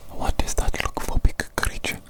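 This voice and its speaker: A woman voicing an eccentric, theatrical evil doctor in a breathy whisper for an ASMR medical roleplay, often repeating words and phrases. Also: normal speed, lightly acted. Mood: soothing, insistent.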